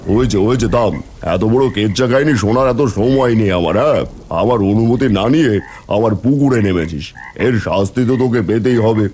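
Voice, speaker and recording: A man's voice: mid-range voice, a little fast, darkish tone, a little hiss or hum, lightly acted. Man acting a stern, haughty village landowner. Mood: stern, dismissive. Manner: bullying, indignant, commanding. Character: stern, haughty village pond owner, scolding. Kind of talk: cartoon fairy-tale dialogue acting.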